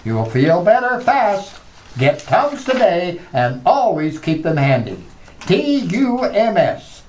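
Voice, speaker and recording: A man's voice: high-pitched, sing-song, slow, darkish tone, slight background noise. A man announcing in a scripted old-time radio style, introducing and narrating as the show's announcer. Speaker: show announcer.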